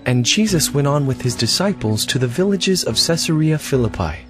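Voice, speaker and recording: A man's voice: medium pitch, lively intonation, normal speed. A man giving scripted, dramatic narration of scripture for an audio drama. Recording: music behind the narration.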